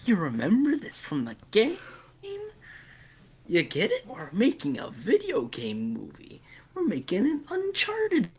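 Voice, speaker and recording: A man's voice: extremely high pitch, wildly swooping pitch, unhurried. A man giving blunt, dismissive opinions in a casual podcast chat, repeating his points for emphasis. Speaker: podcast panelist.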